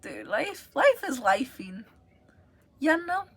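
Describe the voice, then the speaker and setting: A woman's voice: high voice, big pitch swings, slow pace, fairly bright tone, breathy edge. A young woman from the United States, chatting casually and giggling as she rambles to viewers on a livestream.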